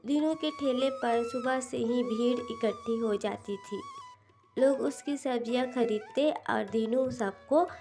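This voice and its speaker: Slow, high-voiced woman narrating a story. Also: mellow. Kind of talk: scripted children's story narration. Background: music.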